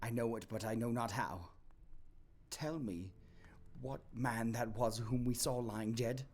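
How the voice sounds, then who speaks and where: Desperate old miser near tears, high and strained, voiced by a man. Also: measured pace, a little mellow, natural voice. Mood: solemn. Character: aging miser Scrooge, terrified and pleading. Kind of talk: audio drama acting.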